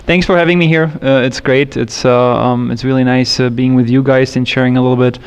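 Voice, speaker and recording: A man's voice: medium pitch, steady tone, brisk, smooth. A man from Germany with a German accent, giving friendly, fluent opening remarks as a panelist. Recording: echoey hall with long reverb.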